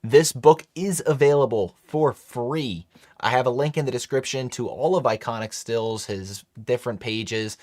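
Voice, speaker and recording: Male streamer host, chatty and upbeat, mid-pitched voice, dry close sound. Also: dry recording. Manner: chatty, upbeat, talks to chat. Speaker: livestream host. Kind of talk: casual live stream chat.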